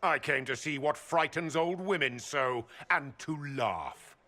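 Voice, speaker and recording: An actor playing an arrogant, contemptuous templar commander in a fantasy game, speaking with haughty scorn. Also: male voice, fairly high pitch, a little slow, sharp tone. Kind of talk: fantasy game cutscene acting.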